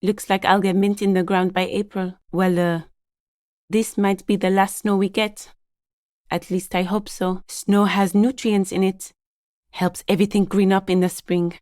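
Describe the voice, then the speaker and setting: An actress playing a guarded, matter-of-fact gardener in a video game, with an understated, polite but curt delivery. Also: female voice, medium pitch, level tone, unhurried, darkish tone, dry recording, studio quality, natural voice. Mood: mildly hopeful. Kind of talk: game dialogue voice lines, understated and conversational.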